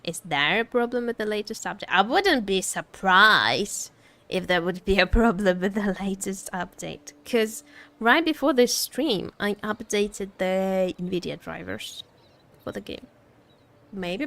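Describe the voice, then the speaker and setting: A woman's voice: medium pitch, lively intonation, a little slow, gravelly, bright, forceful tone. A woman streaming a game with casual, playful, rambling chat, teasing and often repeating her phrases. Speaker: VTuber streamer. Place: indoor home streaming setup.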